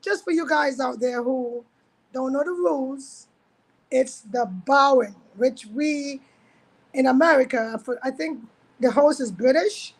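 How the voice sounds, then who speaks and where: A woman's voice: sits high, unhurried, low-quality recording. A woman co-commentating on sport in casual chat, with an insistent, bantering way of talking.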